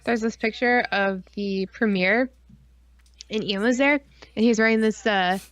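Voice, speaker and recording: A woman's voice: medium pitch, a little slow, a bit bright, dry recording. A woman co-hosting a casual livestream, talking in an informal, enthusiastic way. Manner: informal, enthusiastic, frequent swearing. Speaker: podcast co-host.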